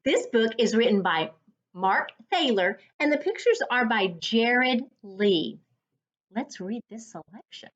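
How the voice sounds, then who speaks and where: A woman with a fairly high voice reads a storybook to children very slowly. Her delivery is deliberate and instructive, like a teacher's. The recording is dull and lo-fi. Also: expressive. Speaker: reading coach. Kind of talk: read-aloud storytime for children. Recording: dull lo-fi sound.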